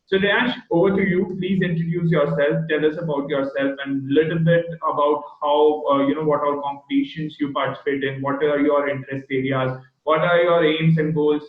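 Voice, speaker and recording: A man hosting an online interview with a child guest, talking in a halting way and often stopping to search for words. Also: a little high, fairly even intonation. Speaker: host. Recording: echoey, tinny phone-like video call audio.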